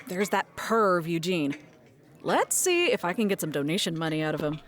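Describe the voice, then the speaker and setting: A woman's voice: on the low side, sing-song, normal speed. An actress playing a scheming, teasing woman in a comedic audio drama, speaking with wry manner. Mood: scheming, flirtatious.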